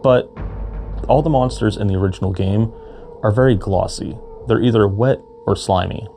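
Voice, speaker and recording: A man's voice: lowish voice, moderate pace, creaky, dark tone, soft background music. A man presenting a cosplay build in casual, explanatory narration. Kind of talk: casual tutorial narration with comedic skits.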